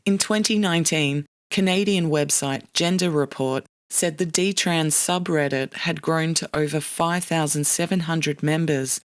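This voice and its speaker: A podcast narrator reading a scripted documentary narration in a measured, serious way. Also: medium pitch, fairly even intonation, no room sound. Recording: dry studio voice with faint music.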